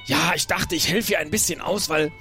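A man playing an earnest, hungry pirate crewmate who deliberates aloud in an improvised audio drama. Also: fairly high pitch, vocal fry, pushed and bright, soft background music.

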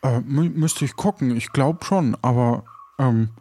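A man playing a polite, bumbling pirate in an improvised audio play, stammering and halting as he bargains. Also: medium pitch, dark tone, lightly acted. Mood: hesitant. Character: hungry, courteous, bumbling male pirate haggler. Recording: background noise and ambience.

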